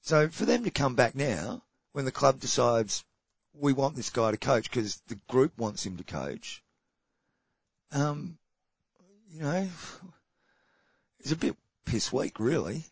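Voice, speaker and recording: A man's voice: medium pitch, very animated, slow, creaky, breathy edge, no room sound, muffled recording. An Australian man co-hosting a casual podcast chat, blunt and sarcastic, often stumbling and restarting. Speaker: podcast co-host.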